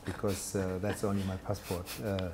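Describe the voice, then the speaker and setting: A man's voice: sits low, completely flat delivery, moderate pace, darkish tone. A man, speaking as a guest in a studio interview, hesitant and self-deprecating with many fillers and restarts. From Germany. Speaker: guest, telecom executive. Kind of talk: conversational interview. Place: studio set with brick wall, indoors.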